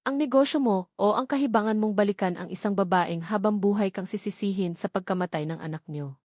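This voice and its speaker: A woman storyteller voices a scorned, vengeful woman in a romantic audio drama. She is bitter and accusing, taunting her former lover with cold threats. The mid-pitched voice sits in a dry recording. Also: fairly quick, darkish tone, dry recording, lightly acted. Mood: vengeful, threatening. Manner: taunting, accusing, switches into English phrases.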